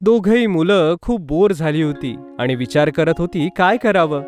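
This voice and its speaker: A man's voice: fairly high pitch, moderate pace, over music. A man narrating a scripted children's story as the storyteller.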